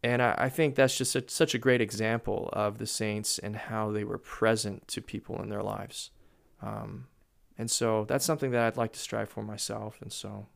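Casting: lower-pitched man with a gravelly voice, chatty and storytelling. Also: normal speed, few pauses. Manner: storytelling, animated with laughs. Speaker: guest speaker. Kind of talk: casual podcast chat.

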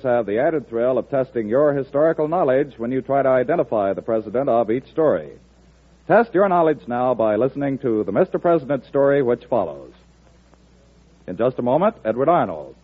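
An American man reading a formal, earnest scripted announcement for a 1940s radio show. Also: medium pitch. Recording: husky, narrow-band 1940s radio recording.

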